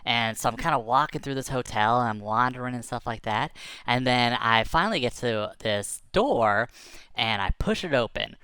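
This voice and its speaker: A man's voice: medium pitch, animated, moderate pace, pushed and bright, clear, resonant tone, no room sound. A man casually telling a tall tale in the first person, rambling with many fillers. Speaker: storyteller.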